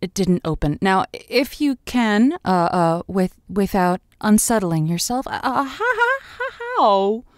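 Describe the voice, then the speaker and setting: A woman playing a playful, soft-hearted late-night radio call-in host with a coy, halting manner. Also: mid-range voice, very animated, no room sound, clean studio sound. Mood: gentle, halting. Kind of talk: improvised audio drama, late-night radio call-in show.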